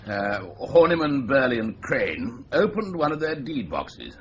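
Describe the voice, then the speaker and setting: A brisk senior police chief, played by a man, lays out a case in a clipped, lively voice. The radio drama recording sounds dull and lo-fi, with a faint hiss. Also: mid-range voice.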